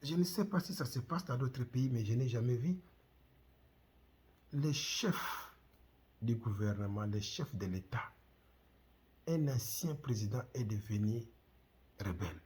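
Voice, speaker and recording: A man's voice: medium pitch, animated, unhurried, long pauses, a little mellow, slightly rough. A middle-aged man from the Congo with a Congolese French accent, hosting his own channel and speaking passionately to camera with repeated rhetorical questions. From Democratic Republic of the Congo. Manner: impassioned, repetitive rhetorical questions. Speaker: channel host. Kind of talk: direct-to-camera commentary.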